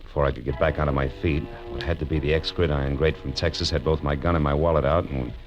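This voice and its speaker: A man's voice: extremely low pitch, fairly even intonation, quick pace, muffled recording. An actor playing a wry, tough-talking American private detective, narrating his case in 1940s radio drama style. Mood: wry, tense. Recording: vintage radio drama with music underneath.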